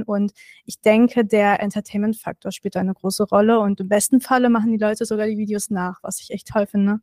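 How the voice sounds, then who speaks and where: A woman's voice: mid-range voice, a little mellow, no echo. Young woman, friendly, hesitant, filler-heavy, dry close recording. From Berlin, Germany. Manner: friendly, hesitant, lots of "ähm". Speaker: guest, content creator. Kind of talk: casual interview answers. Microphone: remote recording from another room.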